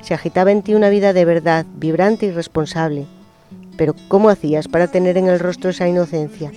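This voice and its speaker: A man with a somewhat high yet mellow voice reads a personal letter on the radio. His delivery is slow, intimate and reflective, and quiet guitar plays faintly behind him. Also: steady tone. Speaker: radio presenter. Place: radio studio. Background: soft guitar music.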